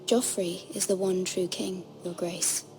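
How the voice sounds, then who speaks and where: An actress playing a dutiful young noble handmaiden in a fantasy game, speaking with guarded, careful deference. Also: female voice, on the low side, fairly even intonation, unhurried, a little mellow, soft background music. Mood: wary deference. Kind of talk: dramatic fantasy game acting.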